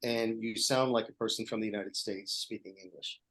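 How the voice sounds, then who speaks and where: A man's voice: fairly low pitch, steady tone, fairly quick, a bit bright. A man who used to teach, a guest on a casual podcast, talking with enthusiasm. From Pittsburgh, Pennsylvania.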